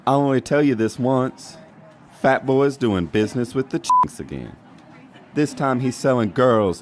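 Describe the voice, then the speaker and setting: A man playing a shady, exasperated reindeer bar owner in a noir comedy audio drama, streetwise and defensive. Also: mid-range voice. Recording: noisy bar ambience behind voice.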